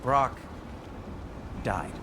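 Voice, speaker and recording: A man playing a nervy, wry and loyal dwarf smith in a video game, with a confiding, halting delivery. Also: background noise. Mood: guilty, confiding. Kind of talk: naturalistic video game acting.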